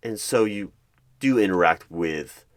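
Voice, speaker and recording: Low-voiced man asking questions, swinging intonation. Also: expressive, unhurried. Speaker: ASMR roleplayer as a hiring manager interviewing a candidate. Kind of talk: ASMR interview roleplay. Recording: clear background noise with keyboard typing. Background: computer keyboard typing and clicks.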